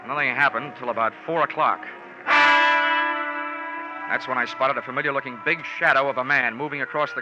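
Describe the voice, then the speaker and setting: Hard-boiled male investigator played by a radio actor: higher-set voice, deadpan, sardonic, dull vintage radio sound with music behind. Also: natural voice. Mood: wry, tense. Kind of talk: 1940s radio detective acting and narration.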